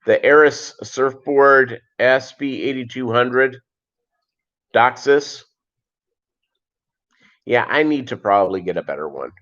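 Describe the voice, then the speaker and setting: A middle-aged man chatting casually as a livestream host, in a rambling, halting way that often repeats phrases. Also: mid-range voice, animated, fairly bright tone. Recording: dull lo-fi livestream audio.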